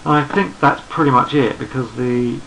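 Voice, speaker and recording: A man with a gravelly, croaky voice walks through trading charts. He is losing his voice and sounds hoarse. He speaks at a relaxed pace, thinking aloud and repeating himself as he goes. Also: medium pitch, moderate pace, a little hiss or hum, poor audio. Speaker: trading analyst. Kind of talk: screen-recorded market chart commentary.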